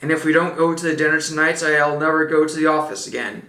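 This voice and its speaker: A man's voice: on the high side, animated, slow pace, roomy sound, pitched above the performer's own voice, heavily acted, rough recording. A man voicing a blustering, sarcastic father who rants melodramatically about his tie. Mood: ranting. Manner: loud, melodramatic ranting.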